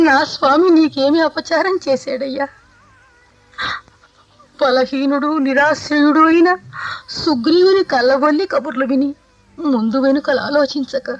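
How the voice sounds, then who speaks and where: A woman acting a grief-stricken, reproachful widowed queen in a 1980s radio mythological play, with theatrical, declamatory delivery. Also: high voice. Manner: theatrical, drawn-out lamenting delivery. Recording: dull old radio recording with music.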